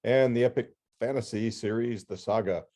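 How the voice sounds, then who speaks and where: A man's voice: mid-range voice, normal speed, dark tone. A man hosting a podcast, reading scripted announcements with casual, tongue-in-cheek asides. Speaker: podcast host and book editor.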